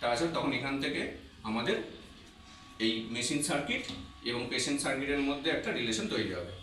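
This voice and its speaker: A man's voice: mid-range voice, a little fast, a bit bright, a little hiss or hum. A man giving an informal physiotherapy tutorial, explaining step by step and often repeating himself. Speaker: physiotherapy instructor presenting a tutorial. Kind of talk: informal demonstration lesson to camera. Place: echoey indoor room. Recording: very echoey, distant microphone, music behind. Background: music.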